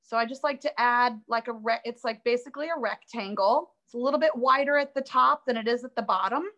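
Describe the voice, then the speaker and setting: A woman teaching a live online drawing class, chatty and upbeat, thinking aloud as she works, her voice a little raspy from allergies. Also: a little high, fairly quick, fairly bright tone, muffled recording. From Florida. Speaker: art instructor.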